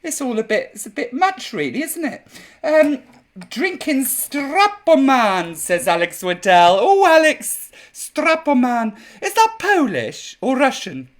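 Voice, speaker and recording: A man hosting a live chat show in a camp drag persona, sounding a bit croaky. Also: extremely high pitch, wildly swooping pitch, slow. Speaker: drag queen host. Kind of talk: live-streamed chat show, reading viewer comments.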